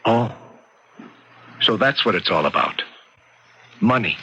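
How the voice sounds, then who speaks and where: An actor playing an earnest, incredulous doctor in an old-time radio drama, pleading and emphatic. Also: male voice, on the low side, animated, slow pace, sharp tone, husky, exaggerated voice. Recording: dull vintage radio recording.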